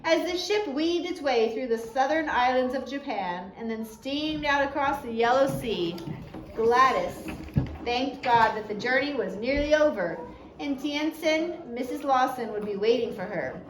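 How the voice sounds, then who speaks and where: A woman reading a biography aloud as an audiobook narrator, with an expressive, deliberate storytelling delivery. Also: high voice. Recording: roomy echo with faint background noise.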